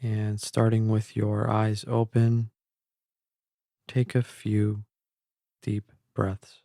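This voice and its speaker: Male meditation guide: calm, gentle, mellow tone with some creak, recorded close and dry. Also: lowish voice, dry recording. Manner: calm, measured, gentle, with long pauses. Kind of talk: slow guided meditation narration.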